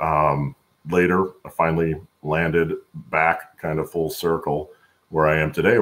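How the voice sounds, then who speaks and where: A middle-aged man with a gravelly voice, answering conversationally as an interview guest on a video call. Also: extremely low pitch. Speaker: podcast guest, supply chain executive. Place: indoor room at home, on a video call.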